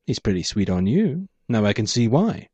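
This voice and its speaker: A man's voice: lowish voice, sing-song, moderate pace, warm tone, breathy edge, no echo. A man voicing a laconic, wry hard-boiled hero in a noir audio story. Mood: probing. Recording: dull muffled lo-fi audio.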